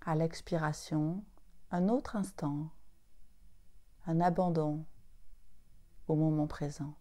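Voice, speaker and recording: A woman guides a meditation as a yoga teacher. Her voice is mellow and slightly low, and she speaks very slowly. Short, soothing phrases are set apart by long pauses. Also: steady tone.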